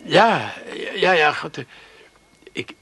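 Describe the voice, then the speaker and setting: An actor playing a bashful, smitten bicycle attendant in a comic radio play, stammering and trailing off. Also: male voice, on the high side, very animated, takes it slowly, pushed and bright, breathy edge, no echo, exaggerated voice. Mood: smitten, flustered.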